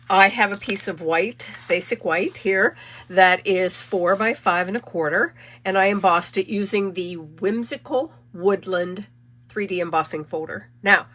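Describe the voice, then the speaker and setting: Slow-talking woman with a stuffy cold. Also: fairly low pitch, a bit bright. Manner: chatty, rambling, self-correcting. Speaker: card-making channel host. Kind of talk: casual live crafting demo.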